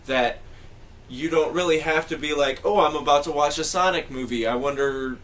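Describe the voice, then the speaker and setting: A man's voice: on the high side, moderate pace, fairly bright tone. A man in a casual group discussion, talking in a rambling, emphatic way with plenty of slang. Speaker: podcast panelist.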